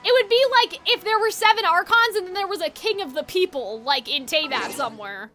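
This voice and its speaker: A woman's voice: very high voice, lively intonation, brisk, sharp tone. A woman game streamer chatting casually, musing aloud in a rambling way over game music. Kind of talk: casual livestream chat. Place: livestream over a video game.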